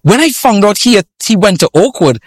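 Lively Trinidadian pastor with a higher voice. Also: male voice, a little fast, breathy edge, no room sound. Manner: jokey, laughs at his own stories. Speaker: pastor, guest. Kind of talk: humorous interview storytelling.

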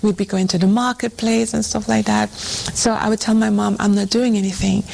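Female guest, conversational testimony style, medium pitch with plenty of creak. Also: flat delivery, brisk, a little mellow, slight background noise. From Trinidad and Tobago. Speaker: guest, church women's ministry coordinator. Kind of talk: conversational personal testimony in an interview.

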